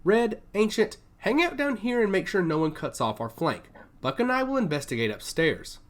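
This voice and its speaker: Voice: medium pitch, sing-song, moderate pace, pitched above the performer's own voice, close to an everyday voice. Male sci-fi soldier leader acted by a narrator: determined, terse, authoritative, very varied intonation. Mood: commanding.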